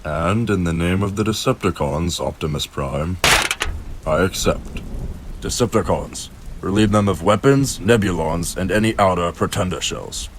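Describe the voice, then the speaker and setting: A man's voice: sits low, moderate pace, background noise, close to an everyday voice. A man voicing a cold, imperious robot villain leader in a comic dub, curt and commanding. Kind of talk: fan comic dub acting. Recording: music and rain behind.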